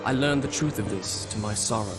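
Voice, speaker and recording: A man's voice: lowish voice, lightly acted. Solemn young prince played by a man, music behind. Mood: rueful, solemn. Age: young adult. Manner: measured, solemn, formal storytelling. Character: young Persian prince, proud, wistful storyteller. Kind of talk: dramatic scripted narration. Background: loud game score music.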